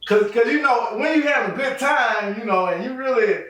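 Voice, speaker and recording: A man's voice: extremely high pitch, lively intonation, moderate pace, bright, forceful tone. A man hosting a podcast, teasing his guest playfully and stammering through restarts. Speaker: podcast host. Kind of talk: casual interview chat. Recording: very echoey room, far from the mic.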